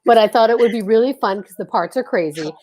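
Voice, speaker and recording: A woman from Philadelphia hosting a casual video call show, excitable and gushing as she talks. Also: a little high, fast talker. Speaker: host.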